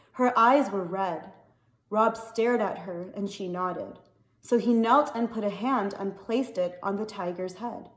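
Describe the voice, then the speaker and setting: A woman reading a novel aloud as an expressive story narrator. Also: mid-range voice. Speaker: story reader. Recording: echoey, reverberant room.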